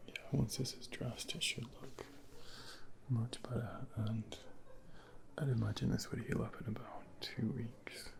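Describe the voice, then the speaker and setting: A man whispering gently and reassuringly in an unhurried ASMR role play as a junior doctor, with a breathy, creaky edge, close to a binaural mic. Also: mid-range voice, level tone. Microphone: close binaural microphone.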